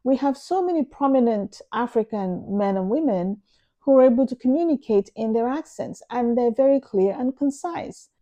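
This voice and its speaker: A woman with a Nigerian accent speaks in an earnest, emphatic monologue. Her voice sits a little high but sounds warm and relaxed rather than bright. She lays out her views steadily, as a vlogger talking straight to her viewers. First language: Igbo. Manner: earnest, emphatic, measured explanation. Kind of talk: opinion monologue to camera.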